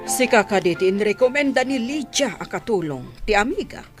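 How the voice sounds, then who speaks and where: A woman's voice: medium pitch, lively intonation, normal speed, over music, close to an everyday voice. A Filipino woman playing a haughty, scolding mistress of a mansion in a radio comedy drama. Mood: haughty, bossy. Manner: imperious, scolding. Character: haughty, bossy mansion mistress, mother of a grown son.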